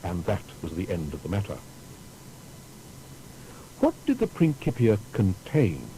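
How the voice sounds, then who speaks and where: A man narrating a scripted historical documentary in a formal storytelling manner with deliberate emphasis. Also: dark tone, rough recording. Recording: faint background noise with rumble.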